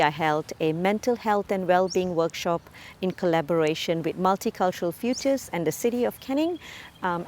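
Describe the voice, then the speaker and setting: A woman in her late thirties from Malaysia, speaking as a community cultural ambassador in a profile interview, measured and earnest with frequent ums and uhs. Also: fairly low pitch, a little mellow.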